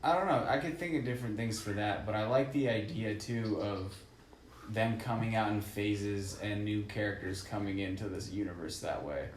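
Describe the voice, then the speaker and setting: A man's voice: fairly low pitch, normal speed. Slangy man in a very echoey room. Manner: slangy, profane, says "dude" and "man". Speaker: podcast co-host. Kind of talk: casual film and video game chat. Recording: roomy echo, faint background noise.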